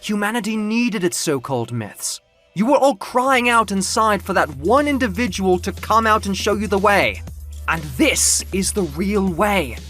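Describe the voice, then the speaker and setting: A man playing an impassioned, high-pitched, proud winged muse. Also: animated, unhurried, sharp tone, soft background music, exaggerated voice. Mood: fervent. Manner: theatrical, grandiose, declamatory. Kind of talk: audio comic book acting.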